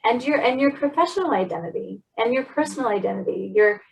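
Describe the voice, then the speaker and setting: A woman's voice: fairly high pitch, animated, fast, creaky. A woman interviewing a guest, affirming and encouraging her answers. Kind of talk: conversational interview. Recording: voice a bit distant from the mic.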